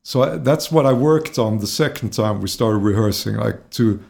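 A man's voice: lowish voice, moderate pace, creaky, a little mellow. A man with a Swedish accent talking about his singing career in English, hesitant and full of fillers and repeated words. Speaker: singer, interview guest. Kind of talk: casual conversational interview.

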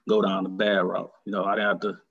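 Husky man, reflective. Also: deep voice, little pitch movement, a bit bright, lo-fi sound. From Detroit, Michigan. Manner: reflective and earnest, halting. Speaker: author, interview guest. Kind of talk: conversational interview.

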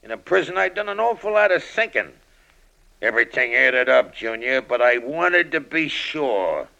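A gravelly old American gangster, hard and worn out. The voice is sharp and swings widely in pitch, in the manner of old radio crime acting. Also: male voice, sing-song, lo-fi sound, full character voice. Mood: menacing, bitter. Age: older. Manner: tough underworld slang, deliberate menace. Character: tired, hard old ex-convict gangster, avenging.

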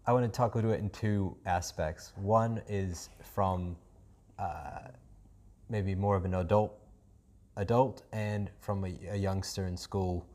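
A man's voice: sits low, fairly even intonation, slow, a little mellow. A man with a New Zealand accent hosting a casual podcast chat, enthusiastic and rambling, with many ums and restarts. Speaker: podcast host.

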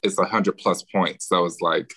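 A man, giving relaxed, explanatory advice as a guest on a podcast. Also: low voice, moderate pace, slightly rough, no echo. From Birmingham, Alabama. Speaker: guest, real estate agent. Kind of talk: casual podcast interview.

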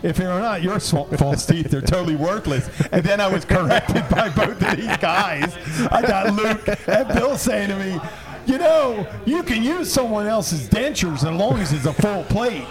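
A man's voice: sits high, animated, normal speed, crowd noise. A man hosting a casual podcast, rambling and laughing often, trailing off mid-sentence. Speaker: podcast host. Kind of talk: casual banter.

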